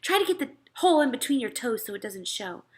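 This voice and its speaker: Woman acting a firm, coaxing mother, lively pitch. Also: fast. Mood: fussing. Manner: firm, coaxing, as if reasoning with a child. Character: no-nonsense mother of two young boys, firm, coaxing.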